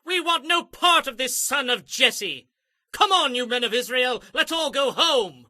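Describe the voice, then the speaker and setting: A man's voice: extremely high pitch, lively intonation, a little slow, no room sound, studio quality, heavily acted. Man acting a piercing, defiant rebel rabble-rouser. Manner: shouting, rabble-rousing. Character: defiant male troublemaker rousing a rebellion. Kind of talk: dramatized scripture acting.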